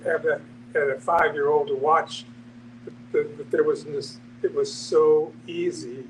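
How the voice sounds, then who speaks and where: A man thinking aloud in a halting, reflective way during a casual discussion. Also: fairly high pitch, steady tone. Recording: distant mic, noisy humming room.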